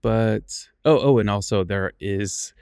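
A man's voice: on the low side, slow, a little mellow, no echo, studio quality. A man hosting a podcast interview, upbeat and eager, laughing often, recorded on a phone. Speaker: podcast host. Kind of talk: casual interview. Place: room with a piano. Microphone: iPhone recording. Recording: rough phone recording, piano behind. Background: piano music.

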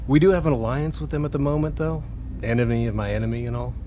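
A man's voice: mid-range voice, fast, warm tone, slight background noise, close to an everyday voice. An actor playing a scruffy, irreverent young man with a casual, slangy way of talking in a radio play. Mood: casual, dismissive. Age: young adult. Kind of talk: radio drama acting.

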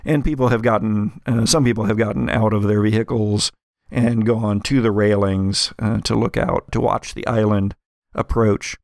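A man narrating an atmospheric tabletop horror game as game master, in a measured, deliberate way. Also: fairly low pitch, steady tone, vocal fry, a little mellow, no room sound, clean studio sound.

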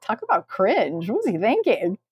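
A woman co-hosting a podcast in casual chat, expressive and incredulous in the way she talks. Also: mid-range voice, normal speed, husky. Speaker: podcast host.

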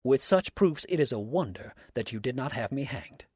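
A man's voice: mid-range voice, lively intonation, moderate pace, darkish tone, no echo, lightly acted. A defiant villain from a storybook, acted out by a male reader, sneering and theatrical. He speaks in mocking, sarcastic exclamations, in a closely recorded, dry sound. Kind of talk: dramatic storybook reading.

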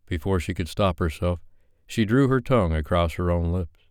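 Low-pitched male romance audiobook narrator. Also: normal speed, darkish tone, clear, resonant tone, dry recording, studio quality. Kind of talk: dramatic scripted romance narration.

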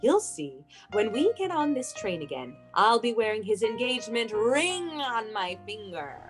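A woman's voice: on the high side, very animated, measured pace, over music. A woman playing a lovesick, anxious, clinging young woman with a hesitant, fervent manner in a classic radio suspense play. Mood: dreamy, devoted. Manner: hesitant, repeating words, fervent.

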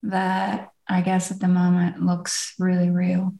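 Woman co-host, unhurried, gravelly, echoey room. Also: lowish voice, completely flat delivery, mellow. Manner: unhurried, laughing easily, trailing off. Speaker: podcast co-host. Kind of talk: casual podcast chat.

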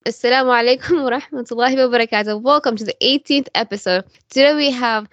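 A woman's voice: fairly high pitch, fast, muffled recording, over music. A woman fitness coach hosting her own podcast, upbeat and welcoming as she introduces and praises her guest.

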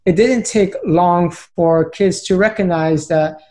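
A man's voice: high voice, slow pace, warm tone. Earnest young man telling his story. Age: late 20s. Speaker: podcast guest, speaker. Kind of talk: conversational storytelling.

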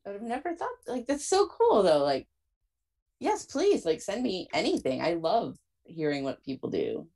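A woman's voice: medium pitch, huge pitch swings, moderate pace, mellow. A woman hosting a podcast in casual chat, enthusiastic and full of "like". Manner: enthusiastic, peppers talk with "like". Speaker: podcast host.

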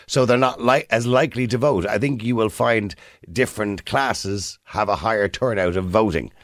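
A man's voice: lowish voice, moderate pace, no room sound, clean studio sound. A man with an Irish accent hosting a radio phone-in, chatty and probing, pushing back on callers and correcting himself as he goes. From Ireland. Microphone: studio microphone.

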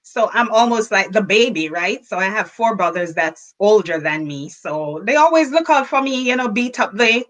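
A woman's voice: mid-range voice, lively intonation, normal speed, hardly any pauses, fairly bright tone, poor audio. A woman from rural Jamaica with a Jamaican accent, chatting casually and cheerfully as an interview guest. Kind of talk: casual chat.

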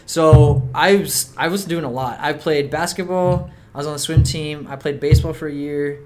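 A man's voice: fairly high pitch, moderate pace. Young male podcast guest, easygoing chat, fillers everywhere, faint noise behind. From Mexico City. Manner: relaxed, many fillers like "um" and "like". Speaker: podcast guest, soccer player. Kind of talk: casual interview chat.

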